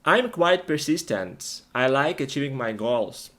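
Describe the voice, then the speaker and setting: A man's voice: medium pitch, big pitch swings, a little slow, a bit bright. A man co-hosting an English lesson, chatting through questions and answers in short, simple, plainly worded sentences. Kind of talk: conversational question-and-answer for learners.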